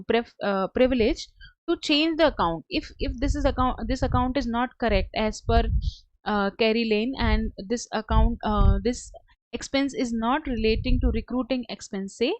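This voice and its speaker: A woman narrating a step-by-step software tutorial as a trainer, with a halting, hesitant delivery. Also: fairly high pitch, dry recording, rough recording.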